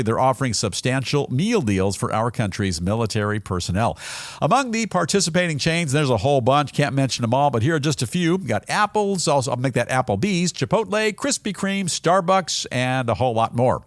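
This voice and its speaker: Animated male American news host. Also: mid-range voice, dry recording. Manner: folksy, playful, theatrical delivery. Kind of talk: jokey news roundup commentary.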